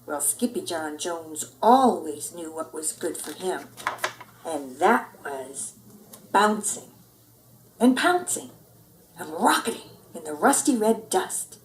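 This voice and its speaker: A woman narrating a children's story in an expressive read-aloud style. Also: on the low side, takes it slowly, distant mic, a little hiss or hum. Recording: roomy indoor echo.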